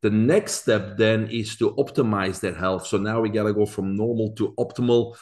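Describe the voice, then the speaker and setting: A man in his forties with a Belgian accent speaks as an interview guest. His voice sits a little low, and he explains things earnestly, padding his talk with fillers. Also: steady tone, moderate pace, a little mellow. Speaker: podcast guest.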